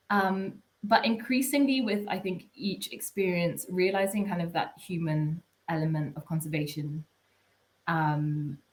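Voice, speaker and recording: A young adult woman being interviewed about her path into research, talking casually with frequent fillers and restarts. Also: fairly low pitch. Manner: chatty, many restarts and fillers. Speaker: guest, PhD researcher.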